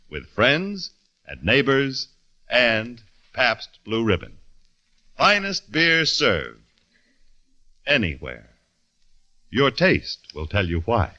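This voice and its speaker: A man announcing on old-time radio, reading sponsor copy and credits in a smooth, formal delivery. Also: mid-range voice, sharp tone, lo-fi sound. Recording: old radio broadcast with music.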